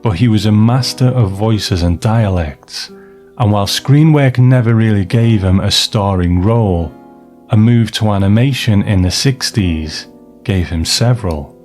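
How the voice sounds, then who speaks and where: A man with a lowish voice hosts a scripted commentary on classic television. He explains things in a measured way, with soft music behind him. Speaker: podcast host.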